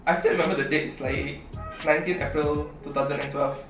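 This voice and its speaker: A man's voice: on the high side, steady tone, quick pace, a bit bright. A man from Indonesia with an Indonesian accent, chatting casually as an interview guest in a chatty, easily amused manner. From Palembang, Indonesia. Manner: chatty, often chuckling as he talks. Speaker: interview guest, origami artist. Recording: roomy echo, distant mic, faint music.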